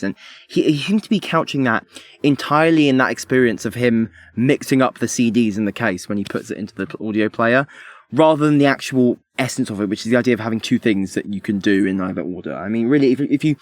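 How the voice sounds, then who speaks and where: A man speaks as a podcast co-host in a medium-pitched voice with a very dry sound. His commentary is conversational yet articulate and analytical. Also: dry recording.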